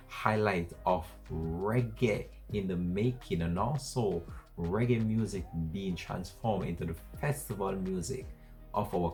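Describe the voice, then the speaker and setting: A man hosting an entertainment news show, wrapping up the story in a steady, repetitive presenter delivery. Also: deep voice. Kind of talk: scripted news wrap-up.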